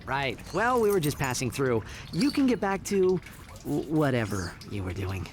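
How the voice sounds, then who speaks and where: Casting: male actor as wry young game hero, boyish, casual, animated intonation, slight background noise. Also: medium pitch, normal speed, lightly acted. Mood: wry, uneasy. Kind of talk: video game character acting.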